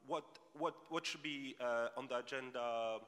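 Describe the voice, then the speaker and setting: A man moderating a panel, speaking in a halting, wordy way with repeated words. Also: mid-range voice, a bit bright. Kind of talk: panel moderation before an audience. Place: large conference hall. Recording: echoey hall with long reverb.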